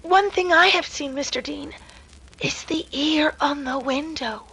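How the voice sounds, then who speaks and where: A woman playing a solemn lady who recounts eerie legends in a hushed, dramatic way, in a 1930s-style radio drama. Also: high-pitched, measured pace, creaky, a little hiss or hum, full character voice, rough recording. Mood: grave, mysterious. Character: Miss Nixon, eerie solemn woman telling a ghost legend.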